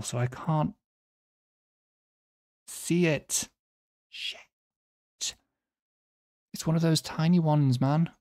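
Slow-talking man, self-deprecating and halting. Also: mid-range voice, gravelly, a little mellow. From Finland or nearby Nordic region. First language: Swedish or Finnish. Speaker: quiz video host. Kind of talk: casual quiz commentary to viewers.

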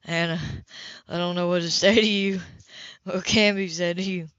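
A woman puts on a gruff, protective man in an audiobook. He is lowered in pitch and murmuring, angry yet tender, on a slightly dull home recording. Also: fairly even intonation, moderate pace, a bit bright, dry recording, close to an everyday voice. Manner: low, murmuring.

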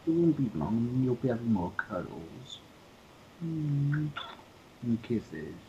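A man playing a gentle, consoling boyfriend in a couple roleplay. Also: medium pitch, big pitch swings, takes it slowly. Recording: dull playback with faint noise.

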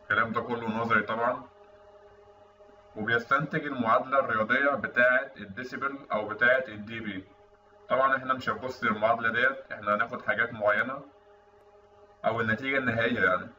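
A man's voice: mid-range voice, fairly even intonation, very fast, sharp tone. A man with an Egyptian Arabic accent explains technical material in an informal, conversational tutorial voice-over. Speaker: instructor. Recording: roomy, distant mic with faint noise, dull sound.

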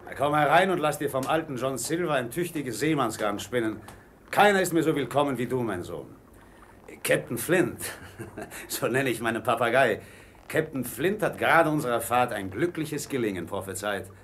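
A man's voice: medium pitch, animated, normal speed, a bit bright, a little hiss or hum, lightly acted. A man playing a wily, commanding old pirate ship's cook in a radio play. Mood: jovial storytelling.

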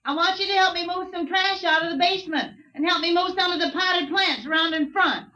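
A woman's voice: very high-pitched, fairly quick, bright, forceful tone. A scolding radio mother. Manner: calling from a distance, scolding. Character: fussing mother of a teenage boy, middle-aged. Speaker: actor. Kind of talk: 1950s radio drama acting. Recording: dull old radio recording.

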